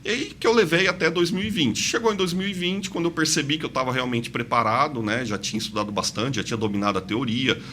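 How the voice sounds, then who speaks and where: A Brazilian man in his mid-forties speaks with a bright, forward voice at an ordinary pitch. His accent blends São Paulo with Santa Catarina. He talks conversationally as an interview guest, with faint noise in the background. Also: fast talker, hardly any pauses. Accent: mixed São Paulo and Santa Catarina Brazilian. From interior of São Paulo state, Brazil. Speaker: podcast guest, investment advisor. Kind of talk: conversational interview, personal storytelling.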